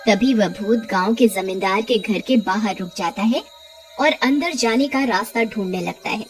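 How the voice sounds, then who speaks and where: A woman's voice: medium pitch, normal speed, over music. A woman narrating a scripted cartoon horror story.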